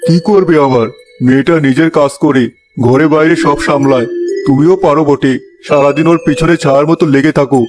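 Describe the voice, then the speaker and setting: A man's voice: fairly high pitch, steady tone, fairly quick, close to an everyday voice. A man voicing a kindly older father-in-law in a comedy cartoon, chiding and reasonable. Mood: exasperated. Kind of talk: animated comedy story acting. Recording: loud music behind the voice. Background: music.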